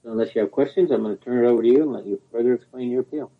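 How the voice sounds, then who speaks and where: A man's voice: mid-range voice, rapid-fire. A man serving as hearing officer, explaining procedure in a plain, matter-of-fact way. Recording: tinny phone line.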